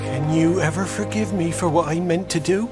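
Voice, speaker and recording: An actor playing a timid, guilt-ridden fawn in a radio drama, faltering and plaintive. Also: male voice, on the high side, normal speed, over loud music, lightly acted. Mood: sad, tender. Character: tearful, guilt-ridden male fawn, timid and self-reproaching.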